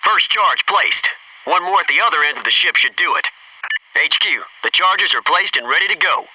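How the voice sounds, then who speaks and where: An actor playing a gung-ho, wisecracking Navy SEAL soldier in a military video game, giving clipped tactical callouts. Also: male voice, sits high, expressive, moderate pace, bright, forceful tone, full character voice. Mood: brisk, businesslike. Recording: noisy radio-style game audio, slightly distant.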